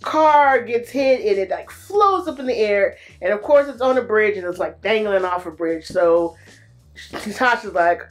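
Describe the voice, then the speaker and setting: A woman recapping a movie in a casual, animated way, full of slang and playful exclamations. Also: mid-range voice, moderate pace. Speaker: movie reviewer.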